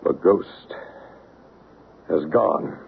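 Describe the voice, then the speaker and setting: An actor playing a calm man who leads a seance and narrates the story, in a 1970s radio drama. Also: rumbling low, gravelly, very noisy, full character voice. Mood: solemn. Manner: solemn, measured, hushed. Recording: tinny 1970s radio broadcast with faint music.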